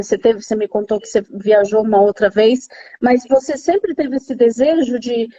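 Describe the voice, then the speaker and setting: Mellow, high-pitched Brazilian woman hosting. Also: slightly rough. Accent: Brazilian, São Paulo. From São Paulo, Brazil. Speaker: host of a live interview series. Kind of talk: casual live chat, interviewing a friend. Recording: slightly dull lo-fi live-stream audio.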